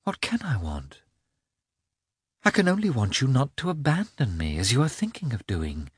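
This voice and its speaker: A proud, anguished noblewoman, voiced by a man reading aloud, lifted well above his own pitch. She accuses and pleads in a lively, swooping line. The sound is dry and close. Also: normal speed, breathy edge, no echo, heavily acted. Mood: despairing.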